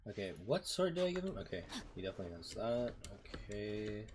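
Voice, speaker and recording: A male streamer talks casually through his gameplay, often half to himself. His voice sits fairly low and moves up and down a lot in pitch. Keyboard typing and mouse clicks come through clearly on a noisy mic. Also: big pitch swings, fast talker, darkish tone. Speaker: game streamer. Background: typing, mouse clicks, background noise.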